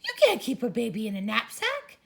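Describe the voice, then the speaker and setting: A woman's voice: on the high side, huge pitch swings, a little fast, gravelly, pitched above the performer's own voice, exaggerated voice. A woman voicing a flustered female schoolteacher who sounds exasperated.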